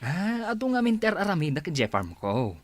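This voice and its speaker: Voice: mid-range voice, sing-song, moderate pace. A performer playing an easygoing village farmer man in an Ilocano radio comedy drama, hesitant and good-natured, with an Ilocano accent.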